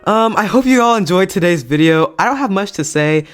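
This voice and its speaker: A man's voice: high-pitched, expressive, brisk, clear, resonant tone. A young man hosting a casual video review in an enthusiastic, slangy, emphatic way. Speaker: YouTube host and film reviewer.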